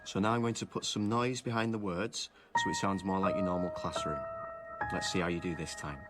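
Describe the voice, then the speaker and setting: A man plays a patient, instructive hearing tester in a radio drama. His voice is low and measured. Loud music sits behind it. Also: fairly even intonation, natural voice. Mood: calm, instructive.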